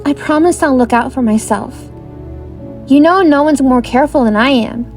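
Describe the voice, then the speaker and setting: A woman's voice: sits high, moderate pace, darkish tone, soft background music, natural voice. A woman playing an earnest, slightly unsure young female bard in an audio drama, speaking conversationally. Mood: playful. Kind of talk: fan audio drama acting.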